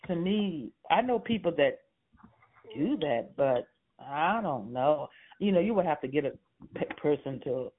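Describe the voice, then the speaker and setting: A man's voice: on the high side, expressive, a little slow, slight background noise. Hesitant man in his sixties on a phone line. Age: 60s. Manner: hesitant, rambling with many fillers and short laughs. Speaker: homeowner on a phone call.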